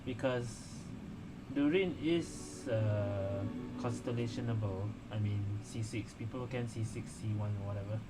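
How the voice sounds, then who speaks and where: A man casually commenting as a gamer on a story playthrough, halting and thinking aloud. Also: lowish voice, background noise. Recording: loud game music behind voice. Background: game music and dialogue.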